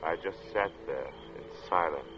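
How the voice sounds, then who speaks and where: A man acting as an uneasy, introspective gentleman who narrates an eerie tale in 1940s radio suspense style, measured and literate. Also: very deep voice, creaky, music in the background. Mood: shaken, tense. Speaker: narrator and protagonist. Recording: muffled old radio drama recording.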